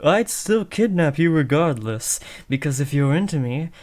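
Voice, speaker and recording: A man's voice: a little high, expressive, normal speed, a little mellow, lightly acted. A young man playing an awkward, lovestruck teenage would-be supervillain in a comedic audio roleplay, switching between theatrical menace and hesitant rambling. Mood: casual, rambling. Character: awkward lovestruck teenage boy, aspiring supervillain, eager, insecure. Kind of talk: comedic roleplay audio drama addressed to the listener.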